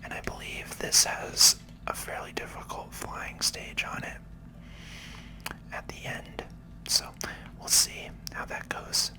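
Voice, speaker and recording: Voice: takes it slowly, poor audio. Whispering ASMR gaming host. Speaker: host, gaming video creator. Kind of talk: whispered ASMR gameplay commentary.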